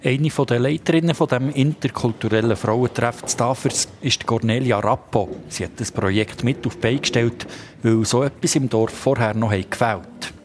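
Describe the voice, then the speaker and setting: A man's voice: medium pitch, normal speed, background noise. A man with a Swiss German accent narrating a scripted radio report as a reporter.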